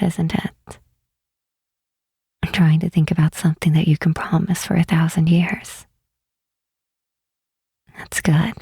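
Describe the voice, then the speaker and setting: A woman playing a gentle, wistful demon queen in a soft ASMR roleplay, speaking slowly and tenderly in a breathy, hushed voice. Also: sits low, dry recording, studio quality, heavily acted. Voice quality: breathy, whispery. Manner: unhurried, hushed, tenderly reassuring.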